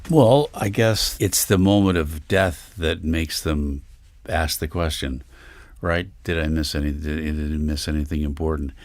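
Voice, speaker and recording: A man's voice: very deep voice, measured pace, dry recording. A man musing casually as a guest in a panel discussion.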